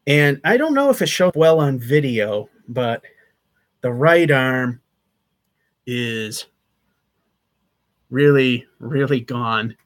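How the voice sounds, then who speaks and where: A man's voice: mid-range voice, animated, slow pace, pauses often. Man in his thirties, chatty and rambling, casual vlog, webcam mic, small attic room. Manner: rambling, chatty, with fillers and restarts. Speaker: channel host. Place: small attic room with sloped wood-paneled ceiling. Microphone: webcam at desk distance.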